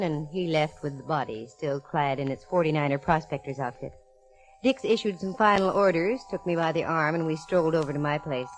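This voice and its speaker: A woman's voice: sits low, natural voice, rough recording. An actress playing a wry, self-assured female private investigator with dry wisecracks, in old radio detective style. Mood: wry narration. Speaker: lead actress and narrator. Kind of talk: 1940s-50s radio detective acting, wry narration.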